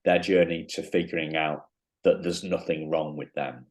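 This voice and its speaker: Man hosting a podcast, really low voice, stops and restarts a lot, bit of a sound. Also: fairly even intonation. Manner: halting, many restarts and fillers. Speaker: podcast host. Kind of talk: casual interview chat.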